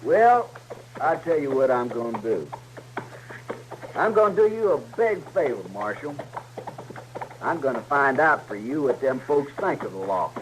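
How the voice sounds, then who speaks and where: An actor playing a bullying, hot-tempered outlaw with a sarcastic, menacing rural Western American drawl in a radio drama. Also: male voice, medium pitch, expressive, unhurried, pauses often, natural voice. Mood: mock-friendly. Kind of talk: old-time radio Western acting. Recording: slightly noisy vintage radio recording.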